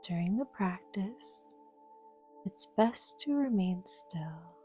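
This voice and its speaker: Slow, soft, breathy woman guiding meditation. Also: medium pitch, animated, faint music behind. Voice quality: soft, breathy, half-whispered. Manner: gentle, slow, soothing, measured with long pauses. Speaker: guided meditation teacher. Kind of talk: guided yoga nidra meditation, soothing narration.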